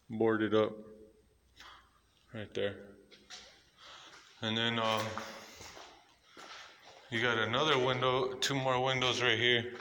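Rambling man touring a dark ruin. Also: on the low side, a little hiss or hum. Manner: rambling, repetitive pointing out spots. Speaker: urban explorer vlogger. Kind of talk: casual walkthrough narration. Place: dark abandoned building, indoors. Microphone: handheld phone camera.

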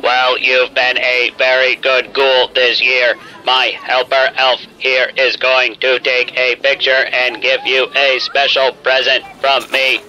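An actor playing a hearty but short-tempered store Santa in an audio drama. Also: male voice, medium pitch, monotone, slow, few pauses, sharp tone. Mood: jolly. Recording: dull band-limited sound, faint music.

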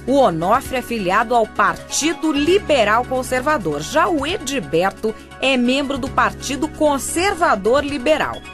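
A woman's voice: high voice, sing-song, a little slow. A woman with a Brazilian accent narrating a radio soap from a script. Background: music.

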